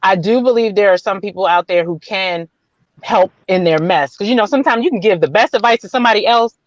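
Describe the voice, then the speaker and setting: A woman's voice: mid-range voice, fast talker, no room sound. A woman on a panel speaking in an affirming, preacherly way. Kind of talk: casual panel discussion. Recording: dry, dull lo-fi audio.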